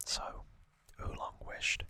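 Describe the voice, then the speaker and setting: A man whispers in a breathy ASMR style, taking his time over each phrase. The sound is soft yet very bright and sibilant, as he informally explains trivia like a host guiding a listener. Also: slow.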